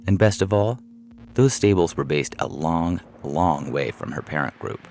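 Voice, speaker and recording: A man's voice: sits low, lively intonation, normal speed, a little mellow. A man reading a humorous science fiction story as a scripted narration, with music playing behind him.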